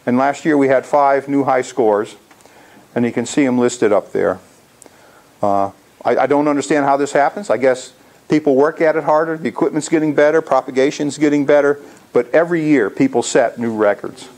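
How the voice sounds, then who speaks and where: A man in his sixties with a gravelly voice, giving an informal slide presentation to a club. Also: mid-range voice, moderate pace, darkish tone. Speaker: amateur radio club presenter. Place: indoor lecture room.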